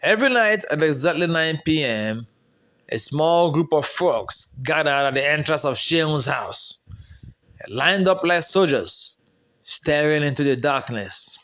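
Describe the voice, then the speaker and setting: A man's voice: a little high, lively intonation, a little slow, a bit bright. A man with a Nigerian accent narrating a folktale in a dramatic storytelling style.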